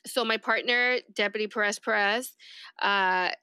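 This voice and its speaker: A woman's voice: medium pitch, fairly even intonation, measured pace, pushed and bright, clear, resonant tone, no room sound, studio quality. A woman whose first language is Spanish, telling her story casually as a police deputy guest on a podcast.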